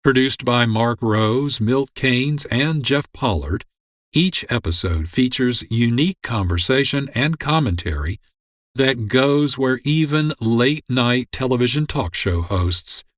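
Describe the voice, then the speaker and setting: A male radio host reads scripted programme notes in a measured, informative manner. His mid-pitched voice sounds close and dry, as in a studio. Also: lively intonation, no echo. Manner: measured, informative announcer delivery. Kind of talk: scripted radio outro narration.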